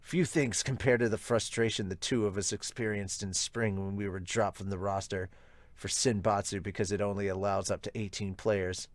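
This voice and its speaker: Guy doing a young baseball player from a manga, low and quiet, proud, dead serious, dry sound. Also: fairly even intonation, normal speed, dry recording, lightly acted. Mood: grim resolve.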